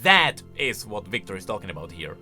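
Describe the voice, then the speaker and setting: Male commentary host. Bright, forceful voice with lots of swing, conversational and emphatic. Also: fairly low pitch, sing-song, brisk, faint music behind. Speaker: video host. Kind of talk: casual reaction and explanation commentary.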